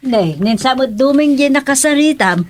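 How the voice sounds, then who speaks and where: A woman's voice: sits high, lively intonation, normal speed, a little mellow. A woman playing a curious, chatty neighbour in an Ilocano radio comedy drama, with an Ilocano accent.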